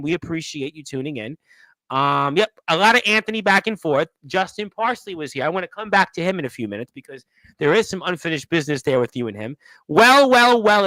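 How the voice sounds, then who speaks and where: A man with a bright, forceful voice set high in pitch hosts a wrestling radio show. The sound is dry and close. He talks casually, reading viewer comments aloud and reacting to them as they come in. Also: no echo, rough recording.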